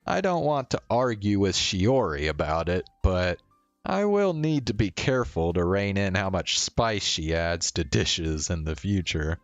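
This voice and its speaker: A man reading a visual novel aloud as first-person narration, in a measured, conversational way. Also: deep voice, very animated, dry recording, soft background music. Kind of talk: first-person story narration with acted dialogue.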